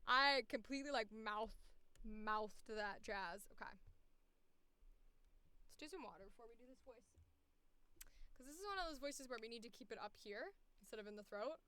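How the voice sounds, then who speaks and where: A woman's voice: fairly high pitch, animated, moderate pace, long pauses, sharp tone, clean tone. A woman streamer and voice actor talking casually to herself, candid and sweary, as she records. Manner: candid, sweary, self-deprecating. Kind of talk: casual self-talk while recording auditions.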